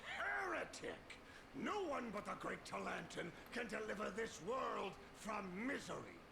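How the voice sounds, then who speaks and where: Gravelly, high-voiced zealot priest. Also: male voice, sing-song, measured pace, noisy background, heavily acted. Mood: outraged. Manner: declamatory, accusing. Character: fanatical, outraged male priest of law.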